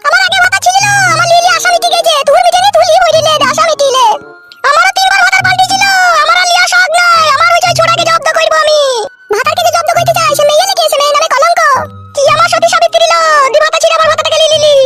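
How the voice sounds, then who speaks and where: A man's voice: extremely high pitch, steady tone, loud music behind the voice. A man voicing a foul-mouthed, jealous wife who scolds and quarrels in an amateur comedy cartoon. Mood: furious. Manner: scolding, rapid-fire quarrelling.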